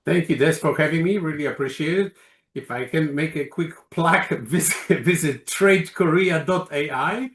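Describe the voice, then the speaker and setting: A man speaking as an interview guest, halting and searching for words with many restarts. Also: fairly high pitch, a bit bright, echoey room. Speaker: guest, organisation chairman. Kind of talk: casual interview talk.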